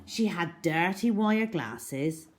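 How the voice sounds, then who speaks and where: Female children's storyteller: unhurried, slightly low voice, dramatic and animated read. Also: soft background music. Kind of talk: expressive scripted story reading for children.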